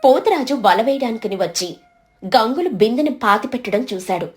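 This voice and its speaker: A woman's voice: on the high side, animated, moderate pace, darkish tone, soft background music. A woman giving a scripted narration of a moral story as its storyteller.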